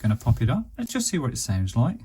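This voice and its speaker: A man's voice: mid-range voice, huge pitch swings, fast. An English man giving a casual hands-on product review, chatting through each step as he goes. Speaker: reviewer of budget radios. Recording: noisy camera microphone, faint hiss.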